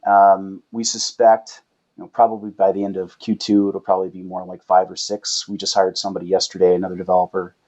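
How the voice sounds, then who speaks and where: Male founder as interview guest, low slightly rough voice, explanatory, checks in with rhetorical questions, muffled lo-fi sound. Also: monotone, a little fast, darkish tone. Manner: uses rhetorical questions and tag "right?". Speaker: startup founder and chief executive, guest.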